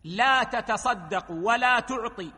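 A man giving a religious lesson on jurisprudence, explaining in a measured way and slowing down when he quotes texts. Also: very high-pitched, pushed and bright, slightly rough. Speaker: Islamic scholar giving a lesson. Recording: long hall reverb.

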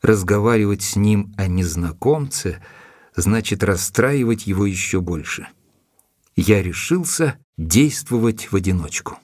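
A determined, level-headed gentleman recounting a mystery in an audio drama. His voice is low and a little husky, closely and drily recorded, and he speaks with deliberate care, posing questions as he goes. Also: lively intonation, no room sound, studio quality. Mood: intrigued, resolute. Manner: measured, deliberate storytelling with rhetorical questions. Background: music behind the voice.

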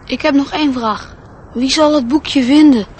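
Woman acting young boy, high voice, inquisitive, pleading, dreamy, radio drama, faint noise. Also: normal speed, a little mellow, lightly acted. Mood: eager.

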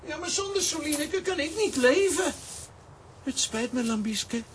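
A very high little voice for a tender, lovesick talking pot. It pleads sentimentally in children's audio drama style, with faint noise behind. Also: lively intonation, measured pace. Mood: pleading, distressed. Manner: plaintive, sentimental. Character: lovesick, tender, plaintive living male coffee pot. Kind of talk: children's radio play acting.

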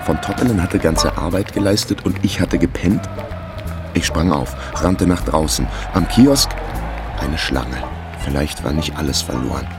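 A wry, laconic detective narrates a radio crime story. His voice is extremely low and gravelly, with a dry delivery. Music runs underneath. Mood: agitated. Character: wry male amateur detective narrating his own case. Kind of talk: scripted first-person crime radio drama narration.

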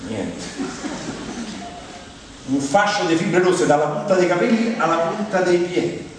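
A man's voice: high voice, a little slow, slight background noise. A man from Rome with a Roman accent performs a humorous theatrical monologue in a conversational, hesitant way, full of rhetorical asides. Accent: Roman Italian. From Rome, Italy. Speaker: stage monologist and singer. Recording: echoey theatre hall from far off, music behind.

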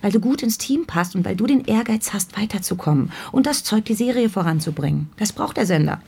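An actress playing a cool, manipulative television boss in a German radio drama, intimate yet bossy. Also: female voice, mid-range voice, expressive, fairly quick, creaky, darkish tone, husky. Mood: cool, calculating. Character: cool, commanding female TV boss, seductive and manipulative.

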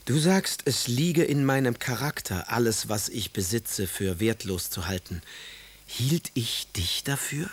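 An actor playing a proud, aggrieved young poet husband who pleads in eloquent, theatrical phrases in a radio drama. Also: male voice, medium pitch, animated, unhurried, husky. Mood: reproachful. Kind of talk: German radio drama, letters read in character.

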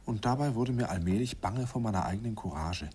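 A man's voice: lowish voice, expressive, fairly quick, darkish tone, breathy edge. An actor playing a middle-aged man who wistfully and self-mockingly recalls his youth in a radio play. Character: man in his forties recalling his student days. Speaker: narrator looking back on his youth. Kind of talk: radio drama narration.

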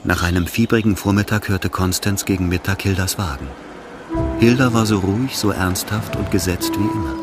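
A man's voice: low-pitched, normal speed, over loud music. A man narrating a literary radio drama as scripted storytelling.

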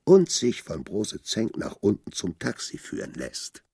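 A man narrating a literary radio drama, with a gravelly, husky voice and acted asides. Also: fairly low pitch, huge pitch swings, unhurried, a little mellow, no room sound, studio quality, full character voice. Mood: narrative. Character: gravel-voiced male narrator recounting a city story.

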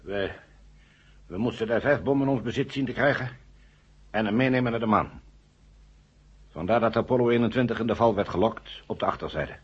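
An actor playing a sober, matter-of-fact astronaut explaining himself in a 1970 radio drama. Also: male voice, on the low side, brisk, pauses often, husky. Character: sober male astronaut confessing a secret plot. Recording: dull, muffled old radio recording.

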